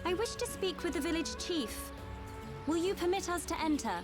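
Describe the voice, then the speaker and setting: A woman's voice: very high voice, lively intonation, moderate pace, over music. An actress playing a formal, haughty young noblewoman with a posh British accent in a video game. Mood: composed, authoritative. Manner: formal, stately, aloof. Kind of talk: video game cutscene acting.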